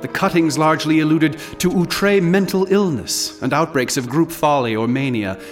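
A man playing a solemn narrator of a horror account, reading in a formal, literary, measured manner for a radio drama. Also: fairly high pitch, close to an everyday voice. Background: music.

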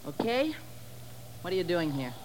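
A woman's voice: lowish voice, sing-song, normal speed. An actress playing a tough, defiant young frontier woman, curt and folksy, in a 1950s radio drama. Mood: threatening. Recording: faintly noisy 1950s radio recording.

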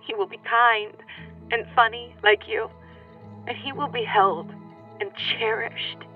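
A woman playing a tender, quirky, grieving pregnant young widow in a radio play, speaking intimately and haltingly. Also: fairly high pitch, fairly even intonation, lightly acted. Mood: tender grief. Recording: slightly dull recording with music behind.

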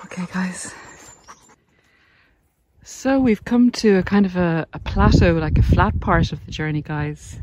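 A woman's voice: lowish voice, lively intonation, unhurried, darkish tone, a little hiss or hum. A middle-aged woman giving an emphatic, encouraging motivational talk to viewers, informally, like a coach out on a walk. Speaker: coach and vlogger. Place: outdoors on a hillside walking trail.

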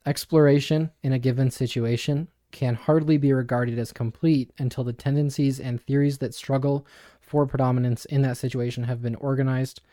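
A young man co-hosting a podcast, explaining ideas in a thoughtful, measured, conversational way. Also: mid-range voice, dark tone, dry recording, clean studio sound. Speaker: podcast co-host. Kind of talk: casual explanatory discussion.

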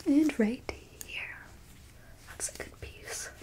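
A woman playing a coolly clinical, smug and curious alien abductor in an ASMR roleplay, in a soft breathy whisper with a coyly condescending manner. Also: slow, lightly acted. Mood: clinical, focused.

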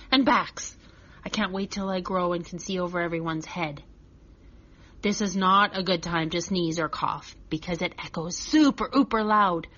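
A woman voicing a chatty, earnest six-year-old boy telling a children's story, childlike and expressive. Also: mid-range voice, lo-fi sound. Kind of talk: first-person children's story reading.

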